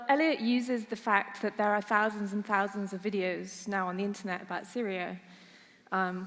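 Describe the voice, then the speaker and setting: A woman with a British accent presenting a case study at a conference, steady and explanatory with light fillers. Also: mid-range voice. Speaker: conference speaker. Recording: echoey conference hall.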